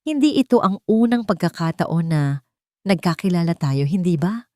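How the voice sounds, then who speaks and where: A woman's voice: lowish voice, expressive, normal speed, dark tone, no echo, close to an everyday voice. A woman playing a wealthy male CEO who speaks in a cold, controlled, insistent manner in an audio romance.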